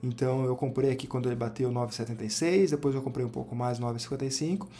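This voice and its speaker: A man with a Brazilian accent, hoarse from a cold, giving relaxed, chatty commentary on his investment portfolio while sharing his screen. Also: mid-range voice, quick pace. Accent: Brazilian Portuguese. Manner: relaxed, chatty, frequent "né" and "pessoal". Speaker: finance channel host.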